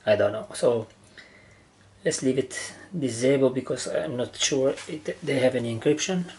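A man giving a casual, halting step-by-step demonstration of equipment in short phrases, as a hobbyist. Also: mid-range voice, a little mellow. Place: indoor room with wooden surface. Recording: noisy handheld camera recording indoors.

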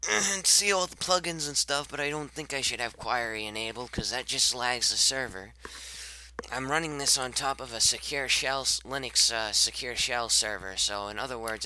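A man in his twenties with a slightly raspy voice, rambling casually while streaming a game, repeating himself and trailing off. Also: mid-range voice, normal speed, pushed and bright, slight background noise, rough recording. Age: 20s. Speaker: Minecraft server owner streaming gameplay. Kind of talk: casual live-stream commentary.

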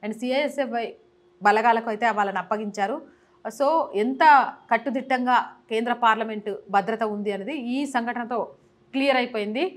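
Brisk, high-voiced woman news presenter. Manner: brisk, emphatic newsreader delivery. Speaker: journalist and channel host. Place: small indoor studio. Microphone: lapel mic.

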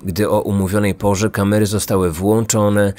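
Niski głos męskiego narratora kryminalnego. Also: male voice, fairly low pitch, level tone, normal speed, darkish tone, faint music behind. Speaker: podcast host and narrator. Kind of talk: scripted true-crime narration.

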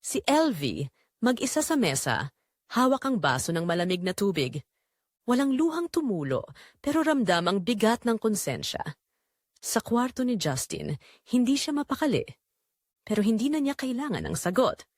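A woman narrating a scripted dramatic story in a solemn, deliberate, emotive way. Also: a little high, very animated, dry recording, clean studio sound.

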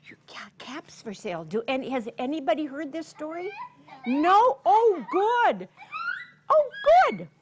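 Older woman, very animated, slow storyteller. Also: high-pitched, a little hiss or hum. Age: 60s or older. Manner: theatrical, deliberate, with drawn-out words and questions to the listeners. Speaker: children's story time storyteller. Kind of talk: animated read-aloud storytelling to young children. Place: children's story room in a public library.

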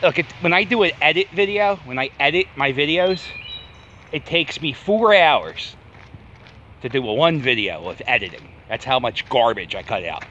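A man's voice: mid-range voice, expressive, normal speed, sharp tone, lo-fi sound. Guy from philly rambling nervously into his phone on a walk in the woods, voice all over the place, a little background hiss. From Philadelphia. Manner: anxious rambling self-talk, jokey. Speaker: vlogger. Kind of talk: walking selfie vlog. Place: outdoors in wooded park. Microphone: phone held at arm's length.

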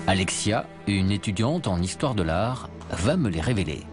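A man's voice: low-pitched, lively intonation, moderate pace. A man reading scripted first-person narration for a travel documentary. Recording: music bed under voice-over.

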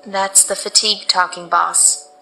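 An actress playing a loyal, practical assistant who speaks earnestly and asks questions. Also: female voice, medium pitch, little pitch movement, a little slow, creaky, sharp tone, faint music behind, poor audio. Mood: urging. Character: loyal young woman assistant, practical and caring. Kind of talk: radio drama acting.

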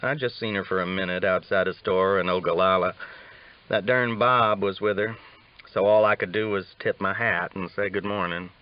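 A man's voice: low-pitched, fairly even intonation, normal speed, natural voice. An evasive cowboy drifter voiced by a male audiobook reader, grumbling in casual rustic speech. The recording is a little dull and lo-fi.

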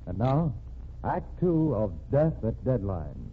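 A man announcing a 1940s radio drama in a measured, dramatic way. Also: mid-range voice, big pitch swings. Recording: tinny old radio transcription with hiss.

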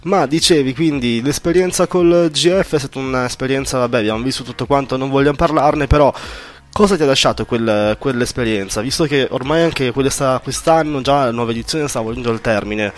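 A man's voice: mid-range voice, a little fast. A man hosting a casual radio interview in an informal, encouraging, chatty way. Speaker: radio show host interviewing a guest.

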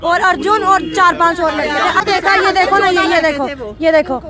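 A young woman speaks agitatedly in a very high voice as a news interviewee. She is outdoors at a handheld microphone with a crowd clearly around her. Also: moderate pace. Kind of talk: agitated account to a news reporter. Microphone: handheld reporter microphone.

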